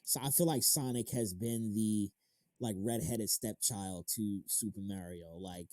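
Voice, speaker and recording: A man's voice: fairly low pitch, a little slow, darkish tone, no room sound. A thirty-year-old man hosting an interview as a casual chat, informal and slangy with some casual swearing. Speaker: interview show host. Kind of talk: casual chat about games and films.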